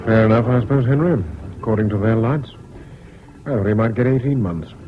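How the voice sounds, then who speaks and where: A man's voice: medium pitch, a little fast, natural voice. An actor with a British accent playing a resigned, matter-of-fact man in a 1970s radio drama. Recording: dull, clearly noisy old radio recording.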